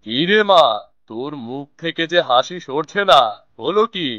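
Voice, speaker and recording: Man acting a kindly village uncle, cartoon farmer, fairly high male voice, dry muffled recording. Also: expressive, unhurried, fairly bright tone, dry recording. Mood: friendly. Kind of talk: animated moral story voice acting.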